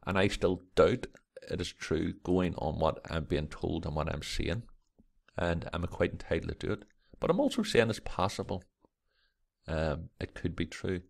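A man hosting a camera vlog, with a croaky early-morning voice, rambling and reading articles aloud with lots of fillers. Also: sits low. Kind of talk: casual screen-recorded commentary.

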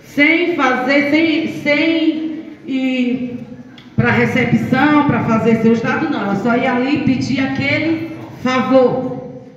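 A middle-aged woman speaks with passion into a handheld microphone, her voice high and bright. She is heard from far back in a large, echoing hall, with a long reverb tail and faint room noise behind her. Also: slow pace, dull audio. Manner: impassioned, testimonial, raising voice at peaks. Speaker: health council member. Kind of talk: emotional public speech. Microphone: handheld mic, filmed from far off through speakers. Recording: echoey hall, far from camera.

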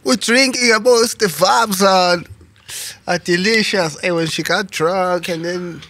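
A man's voice: high voice, unhurried, sharp tone. A man in his thirties hosting a casual podcast, reminiscing in loose, slangy talk with his guest. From South Africa. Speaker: podcast host.

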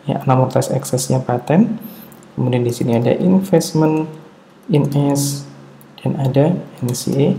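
A man narrating a step-by-step tutorial as an instructor, halting and deliberate, thinking aloud as he works. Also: mid-range voice, creaky, warm tone. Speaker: accounting instructor. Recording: roomy echo, dull muffled tone, faint noise.

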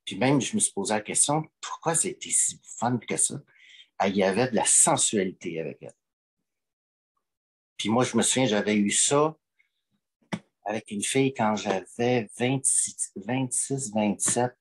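A man's voice: on the low side, unhurried, breathy edge. A man, calling in and talking in hesitant, rambling stretches full of fillers. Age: late 40s. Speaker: caller. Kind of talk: call-in conversation.

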